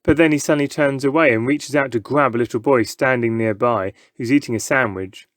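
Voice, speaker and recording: A man's voice: mid-range voice, a little fast, no room sound. Man reading a children's story, dry sound. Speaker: story reader. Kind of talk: scripted children's book narration, present tense.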